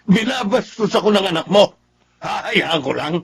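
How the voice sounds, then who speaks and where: A man's voice: sits high, expressive, moderate pace, gravelly. A man playing a proud, insistent middle-aged Filipino father in a radio-style drama, with a Filipino accent. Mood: insistent, irritated.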